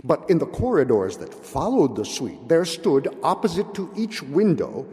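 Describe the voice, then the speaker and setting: Male narrator, slightly high and mellow, theatrical literary read with deliberate pauses, long hall reverb. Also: big pitch swings. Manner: measured, deliberate phrasing with dramatic pauses. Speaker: narrator reading a classic tale aloud. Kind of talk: theatrical dramatic literary reading of gothic fiction.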